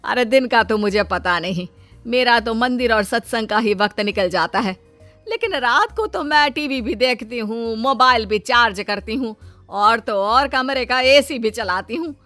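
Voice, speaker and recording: A woman voicing a fussy, suspicious older mother-in-law in an animated moral story, full of questions and exclamations. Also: fairly high pitch, measured pace, no room sound, soft background music. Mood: puzzled.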